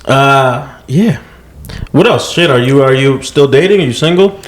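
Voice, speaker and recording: A young man co-hosting a casual comedy podcast, reacting with quick quips and swearing freely. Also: medium pitch, lively intonation, a little hiss or hum. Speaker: podcast co-host.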